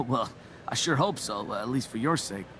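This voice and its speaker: An actor playing a pushy, smug sports reporter, asking probing, insinuating interview questions in a video game scene. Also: male voice, medium pitch, expressive, normal speed, fairly bright tone, slight background noise, natural voice. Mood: provocative, smug.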